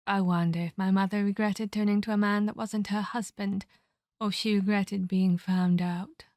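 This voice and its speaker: A woman voicing the centuries-old ghost of a murdered girl in a horror ASMR roleplay, eerie, menacing and wistful, speaking deliberately with breathy, whispery delivery. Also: medium pitch, flat delivery, a little mellow, no room sound, clean studio sound, close to an everyday voice. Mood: grim, haunted. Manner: slow, deliberate, theatrical storytelling.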